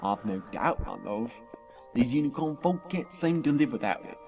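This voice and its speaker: A man's voice: fairly high pitch, lively intonation, normal speed, a little mellow, lightly acted. A man playing a doting working-class father pony with rustic, folksy phrasing in a fan audio drama. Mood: gently cautioning. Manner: rustic, folksy phrasing with tags at sentence ends. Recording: distant mic, music behind.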